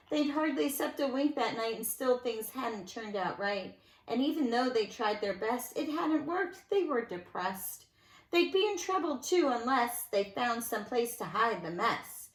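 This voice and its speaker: A woman reading a picture book aloud to young children in an expressive storytelling style, with dramatic emphasis and conversational asides. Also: a little high. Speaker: nursery school teacher reading a storybook aloud. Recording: echoey room sound.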